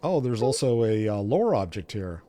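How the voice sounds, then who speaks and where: A man's voice: lowish voice, very animated, normal speed, mellow. A man co-hosting a gaming show, chatting casually and thinking aloud as he plays. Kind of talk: casual gameplay chat.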